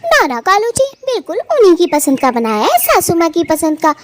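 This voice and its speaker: A woman's voice: extremely high pitch, huge pitch swings, normal speed, full character voice. A woman voicing a patient young wife in a cartoon, pleading and eager to please. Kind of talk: Hindi cartoon voice acting.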